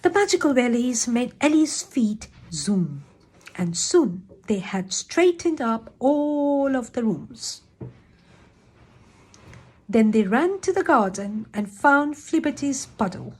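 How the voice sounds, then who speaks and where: A woman reading a children's picture book aloud in an expressive, animated storytelling manner. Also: a little high, takes it slowly, husky, poor audio.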